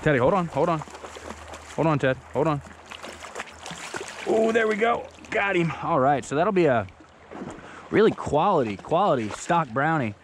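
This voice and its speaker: A young man vlogging as he fishes outdoors by a creek, chatting in a relaxed, eager way into an action camera he wears. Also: fairly high pitch, slight background noise. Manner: relaxed, chatty, enthusiastic about fish. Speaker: fishing vlogger. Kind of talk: casual outdoor commentary. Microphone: action camera on the body.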